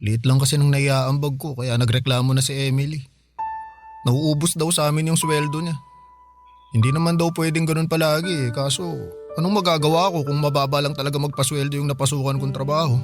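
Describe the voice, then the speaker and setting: A man plays a broke young brother in a radio-style drama, defensive and pleading. His voice sits a little high for a man. Faint music runs behind. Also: level tone, a little fast, close to an everyday voice.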